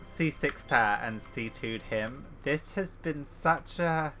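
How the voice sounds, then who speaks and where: A man's voice: medium pitch, takes it slowly, bright, forceful tone, faint music behind. A man giving casual reaction commentary as a streamer playing a game.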